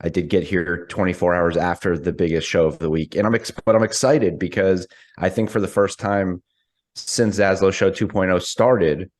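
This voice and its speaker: A man co-hosting a casual wrestling talk, raspy and hoarse from a hangover, joining over a video call. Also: low voice, moderate pace. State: hoarse, hungover. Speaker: co-host. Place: in Texas, remote.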